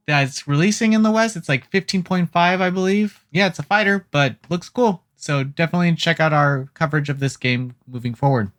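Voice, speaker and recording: A host giving a casual, opinionated video game news rundown with informal, joking asides. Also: mid-range voice. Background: background music.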